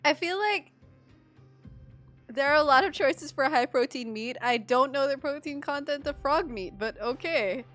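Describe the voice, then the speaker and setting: High-voiced chatty woman game streamer. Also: lively intonation, normal speed, a bit bright, faint music behind. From Philippines. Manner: chatty, reactive. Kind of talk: casual live game commentary.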